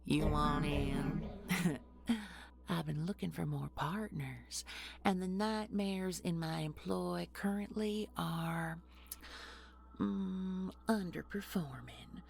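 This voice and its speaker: A woman's voice: on the low side, slow pace, vocal fry, exaggerated voice. A woman playing an ancient nightmare posing as a business executive in an audio horror drama, mock-sweet and menacing, with folksy, condescending pet names. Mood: coaxing menace. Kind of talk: audio horror roleplay acting.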